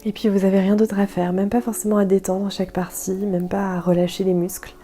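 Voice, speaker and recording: A woman guiding a yoga nidra meditation in a soft, calm, soothing way, leaving gentle pauses. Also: mid-range voice, soft background music. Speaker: guided meditation instructor.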